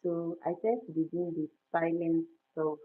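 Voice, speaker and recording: Soft-spoken Nigerian woman on a tinny line. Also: on the low side, level tone. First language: Igbo. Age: 30s. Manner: halting and soft-spoken, frequent restarts. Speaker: livestream host. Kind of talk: casual livestream chat with viewers. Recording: tinny phone-like audio.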